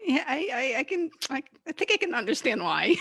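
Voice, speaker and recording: A woman's voice: sits high, sing-song, normal speed, fairly bright tone, no echo, studio quality. A woman hosting a podcast interview in a casual, chatty style. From Canada. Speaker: podcast host.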